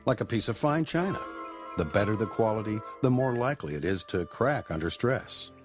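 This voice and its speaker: An actor playing a fatherly sanitarium doctor, measured and reassuring, in a radio drama. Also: fairly low pitch, expressive, over music, lightly acted.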